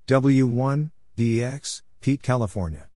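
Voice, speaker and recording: A man reading scripted radio-practice exchanges in short, deliberate, clearly separated phrases. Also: medium pitch, mellow, no room sound. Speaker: Morse code practice narrator.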